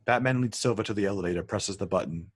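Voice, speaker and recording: A man with a husky, fairly low voice narrates a script read-through. He reads stage directions matter-of-factly and sometimes trips on a word. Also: fast talker.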